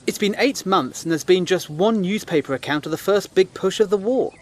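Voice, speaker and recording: Higher-voiced sceptical British gentleman. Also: brisk. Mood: sceptical, pointed. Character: educated British gentleman, sceptical, dry and pointed. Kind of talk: audio drama dialogue.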